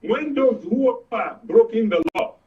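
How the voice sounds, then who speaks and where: A man's voice: very high-pitched, expressive, a little slow. A man with a Nigerian accent giving his opinions as a guest in a political discussion, explaining emphatically and repeating points for effect. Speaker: guest commentator. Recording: dull, distant studio audio.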